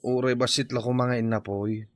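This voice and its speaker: A man's voice: mid-range voice, little pitch movement, moderate pace, no room sound, studio quality, close to an everyday voice. A man with a Filipino Ilocano accent playing a hungry, jobless father who pleads plaintively in a radio comedy drama. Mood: pleading, hungry. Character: hungry, pleading jobless husband and father longing for his family.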